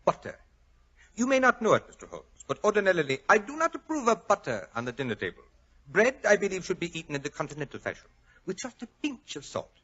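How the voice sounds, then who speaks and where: A man's voice: high-pitched, wildly swooping pitch, fast talker, fairly bright tone, slightly rough, full character voice. An actor playing a fussy, effusive Frenchman with a flowery, slyly menacing politeness and a French accent, in 1940s radio style. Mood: gushing, sly. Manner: flowery, pompous, overly polite. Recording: dull old-time radio recording.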